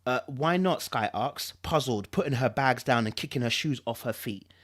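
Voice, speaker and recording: A man hosting a dramatic book reading, mixing narration with animated, chatty commentary and repeating phrases for emphasis. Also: medium pitch, moderate pace. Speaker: host reading a book aloud.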